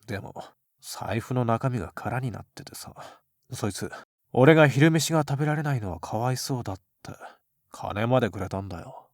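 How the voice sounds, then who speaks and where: A man playing an aloof, gruff high school boy with curt, rough speech and a bashful streak, in an anime-style voice drama. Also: lively intonation, moderate pace, no room sound, studio quality, natural voice. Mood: cool, guarded.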